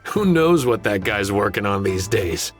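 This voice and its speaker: A man's voice: fairly low pitch, sing-song, unhurried, faint music behind. An actor playing a snide, disdainful male staff member in a video game, moralizing and sneering. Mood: scornful.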